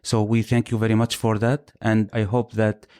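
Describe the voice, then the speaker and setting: Low, flat-voiced formal male host. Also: clear, resonant tone. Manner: formal, courteous, deliberate questions. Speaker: podcast host. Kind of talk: interview hosting.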